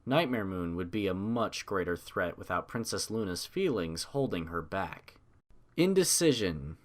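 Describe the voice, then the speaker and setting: A man narrating a scripted fantasy story in a dramatic audiobook style. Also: fairly low pitch.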